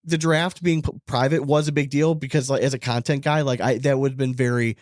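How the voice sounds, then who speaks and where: Male podcast co-host, rapid rambling casual talk, mid-range pitch, loose and sweary. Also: fairly even intonation, no room sound, studio quality. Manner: informal, swearing, rambling with frequent "like". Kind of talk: casual sports podcast chat.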